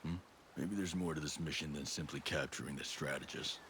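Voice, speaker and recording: An actor playing a gruff, resolute special forces soldier in a video game, blunt and matter-of-fact. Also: male voice, extremely low pitch, steady tone, brisk, very noisy. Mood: grave. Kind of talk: cinematic game acting.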